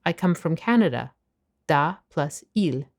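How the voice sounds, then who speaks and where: A woman narrating a scripted language lesson, explaining phrases clearly and at a measured pace. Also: low voice, lively intonation. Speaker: language teacher.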